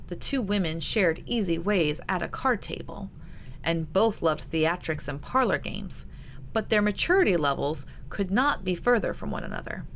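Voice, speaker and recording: A woman narrates prose fiction as the author of the book. Her voice is on the low side and follows a scripted storytelling style. Noticeable background noise sits under the reading. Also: expressive, normal speed, vocal fry.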